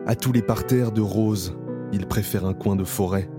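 A man reciting a poem slowly and solemnly. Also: on the low side, steady tone. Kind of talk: poetry recitation. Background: piano music.

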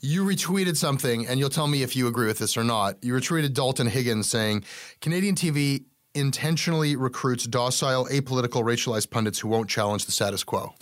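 A man's voice: mid-range voice, brisk. A male interviewer asking probing questions, with many restarts. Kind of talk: interview conversation.

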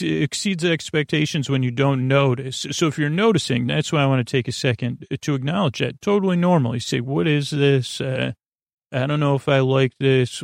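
Creaky low-key guy rambling a bedtime podcast, trails off and repeats himself, kinda goofy, really dry close sound. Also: no room sound. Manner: meandering, halting, often trailing off and repeating words; gently goofy, whimsical. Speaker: podcast host. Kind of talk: rambling bedtime monologue to help listeners sleep.

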